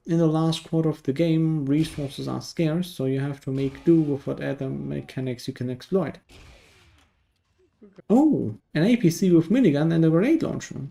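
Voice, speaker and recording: A man's voice: on the high side, vocal fry, warm tone. A man narrating a scripted video game review in a dry, sardonic manner.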